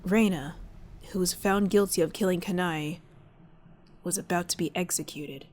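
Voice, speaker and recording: A woman narrating an audio drama as a measured, solemn storyteller. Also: lowish voice, a little mellow, slight background noise, close to an everyday voice.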